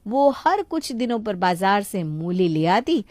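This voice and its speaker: A woman's voice: mid-range voice, very animated, a little slow, darkish tone, no echo. A woman reading scripted narration for a moral story as its narrator.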